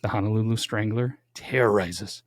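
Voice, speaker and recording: A man's voice: lowish voice, fairly even intonation, normal speed, dry recording. A young man co-hosting a casual true-crime podcast from home, chatty and jokey with dramatic build-ups. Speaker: podcast co-host. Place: home studio.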